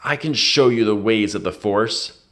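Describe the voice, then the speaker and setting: A man reading a storybook, voicing an angry, menacing dark warrior villain with a commanding, sneering manner. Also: fairly low pitch, a little slow.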